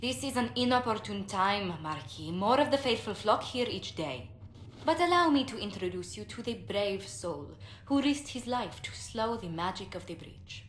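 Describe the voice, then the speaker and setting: An actress playing a poised, courteous ambassador in a video game, with a formal, polite and measured delivery. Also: female voice, medium pitch, lively intonation, lightly acted. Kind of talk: video game dialogue acting. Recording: echoey game capture with faint noise.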